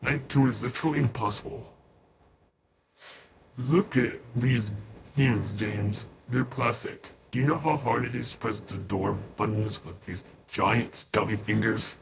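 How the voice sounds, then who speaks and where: A griping animatronic, played by a man, who moans about his stubby plastic fingers in a game. His voice is gravelly and fried. Clear noise runs under the whole recording. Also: mid-range voice, fairly even intonation, measured pace. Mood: annoyed. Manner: complaining, blaming. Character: grumpy animatronic with clumsy plastic hands.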